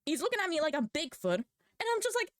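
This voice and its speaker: Young woman, Polish first language, high-pitched, dry, chatty, laughs a lot, rambling. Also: very animated, fast talker, no room sound. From Poland. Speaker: vlogger. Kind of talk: casual rambling rant.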